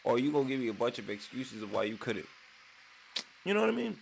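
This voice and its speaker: A man who is sick with a raspy voice, hosting his channel and ranting in a casual, profane way from his man cave at home. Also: mid-range voice, very animated, quick pace, slight background noise, low-quality recording. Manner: profane, heated rant. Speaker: channel host.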